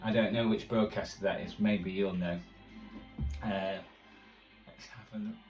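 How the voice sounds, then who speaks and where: Low-voiced man rambling, mic at a distance. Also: fairly even intonation, a little slow, a little mellow, faint music behind. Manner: rambling, hesitant, thinking aloud. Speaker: shortwave radio hobbyist presenter. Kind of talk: casual unscripted commentary while tuning a radio. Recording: distant mic with radio audio behind.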